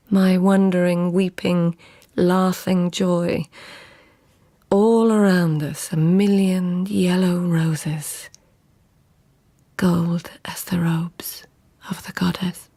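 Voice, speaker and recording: Husky, low-voiced court lady, intimate and guarded. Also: exaggerated voice. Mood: rapt wonder. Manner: intimate, hushed, often breaking into whispered inner narration. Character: devout, passionate lady-in-waiting of an imperial court, watchful and guarded. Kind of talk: literary radio drama acting.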